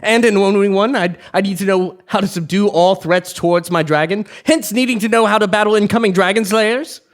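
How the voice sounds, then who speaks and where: A man's voice: high voice, a little fast, lightly acted. A man acting a pompous, sly king in a comic stage play, with a haughty theatrical delivery. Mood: haughty, boastful.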